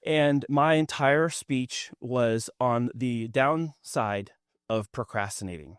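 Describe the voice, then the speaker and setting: Male podcast host, playful and rambling, mid-pitched voice on a dry close mic. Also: takes it slowly, clear, resonant tone, no echo. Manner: joking, rambling with restarts. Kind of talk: conversational interview.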